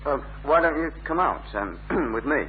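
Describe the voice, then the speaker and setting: A man's voice: a little high, animated, normal speed, lightly acted. Man acting a shy lad, muffled old recording. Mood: awkward, earnest. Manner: hesitant, stammering when pressed. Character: shy, awkward, reluctant young northern lad. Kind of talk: 1970s British radio sitcom acting. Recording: muffled phone-like old radio recording, faint hum.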